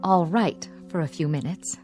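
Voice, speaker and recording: Casting: devoted housekeeper for radio play female actor, deferential, anxious, caring, music clearly present behind the voice. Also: lowish voice, moderate pace, darkish tone, lightly acted. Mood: attentive. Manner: deferential, solicitous. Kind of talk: radio drama acting.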